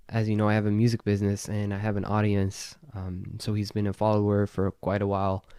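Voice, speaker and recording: Man from syria, podcast host, thoughtful measured speech, low mellow voice. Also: smooth, dry recording, clean studio sound. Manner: thoughtful, measured, with frequent ums. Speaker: podcast host being interviewed. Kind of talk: reflective conversational interview.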